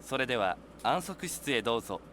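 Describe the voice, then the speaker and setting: Game system announcer: formal, brisk, bright and forceful, heavy noise behind. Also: male voice, mid-range voice, big pitch swings. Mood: formal, procedural. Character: formal male announcer voice of a training pod. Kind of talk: Japanese visual novel voice acting.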